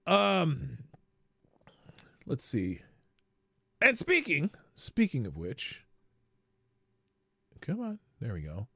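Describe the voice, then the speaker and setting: A man hosting a live stream in casual solo commentary, sarcastic and animated, swearing and muttering to himself between points. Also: mid-range voice, gravelly, husky, no echo, studio quality.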